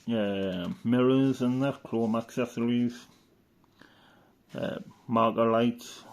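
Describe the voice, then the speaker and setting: A man's voice: mid-range voice, fairly even intonation, slow. A man giving casual, rambling show-and-tell commentary as a hobbyist, matter-of-fact and fond of tag questions. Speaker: hobbyist presenter.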